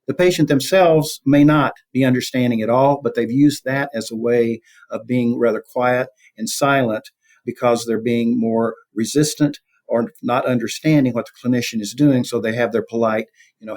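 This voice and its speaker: A man's voice: mid-range voice, darkish tone. A man speaking as an academic interview guest, explaining conversationally and illustrating his points with examples. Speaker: guest, professor of communication.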